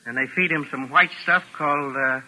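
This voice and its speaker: A man's voice: a little high, fairly quick, pushed and bright, rough recording. An actor playing a ruthless, bossy American gangster in 1940s radio drama, talking in menacing tough-guy slang. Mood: sadistic relish. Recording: old 1940s radio broadcast recording.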